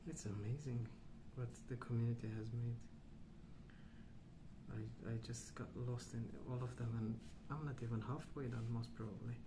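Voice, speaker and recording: A man speaking softly and breathily in an ASMR style, often near a whisper, slow and calm with hesitant pauses between short phrases. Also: medium pitch. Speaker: ASMR host. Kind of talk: soft-spoken rambling ASMR. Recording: clear background noise.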